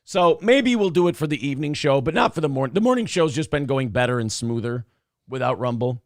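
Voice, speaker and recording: Casting note: male talk-show host, hoarse and raspy, mid-pitched, rambling and profane, dry close-mic studio sound. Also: lively intonation, a little fast, dry recording. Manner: rambling, restarts, swears freely. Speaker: radio show host reading live chat. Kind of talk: casual chat.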